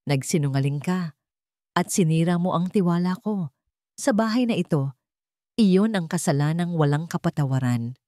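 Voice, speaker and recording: Woman narrator doing a bitter rich boss man in an audio romance, cold and harsh, low dry voice. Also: expressive, moderate pace, pauses often, dark tone, dry recording, close to an everyday voice. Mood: cold anger. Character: cold, wounded wealthy man, a CEO. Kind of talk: storybook audio drama.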